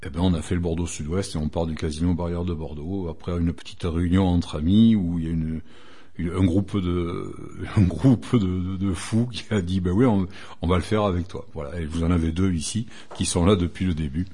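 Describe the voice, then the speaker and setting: An older man recounts how his rally club began, wandering through the story with plenty of hesitations. His voice is very low, dark and a little husky. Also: fairly even intonation, a little fast. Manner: rambling anecdotes with many fillers and restarts. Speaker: guest, rally club founder. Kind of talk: storytelling in a radio interview.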